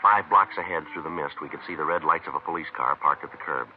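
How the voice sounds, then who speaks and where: An actor playing a terse, no-nonsense American detective sergeant in deadpan 1950s radio drama style. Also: male voice, very deep voice, fast talker. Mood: terse, matter-of-fact. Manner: deadpan, matter-of-fact. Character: terse, no-nonsense male police detective sergeant on night watch. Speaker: lead actor and narrator of a radio crime drama. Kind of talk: 1950s radio acting, clipped scripted narration. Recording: noisy, muffled vintage radio recording.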